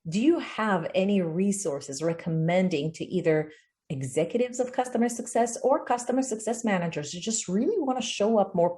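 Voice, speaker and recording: A woman's voice: lowish voice, warm tone. A woman hosting a video interview in a conversational style, with casual, informal phrasing. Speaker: interview host.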